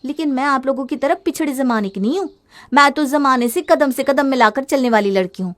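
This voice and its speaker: Woman playing a young housewife, high voice, headstrong, exclamatory, complaining, defensive, storybook family drama. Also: fairly quick, close to an everyday voice. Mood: defensive, indignant. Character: headstrong gadget-obsessed young Indian housewife, easily fed up.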